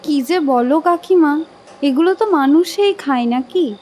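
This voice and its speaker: A playful, confident young woman with a high, bright voice, played by an actress. She teases lightly and talks with easy warmth, with faint room noise behind. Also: unhurried. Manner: teasing, lively, playful.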